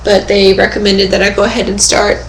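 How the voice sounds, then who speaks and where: A young woman with a hoarse, fading voice, chatting casually in a webcam update from home and often pausing with fillers and throat clearing. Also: medium pitch, completely flat delivery, a little hiss or hum. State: hoarse, losing her voice. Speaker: vlogger. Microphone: webcam microphone. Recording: echoey room on a webcam.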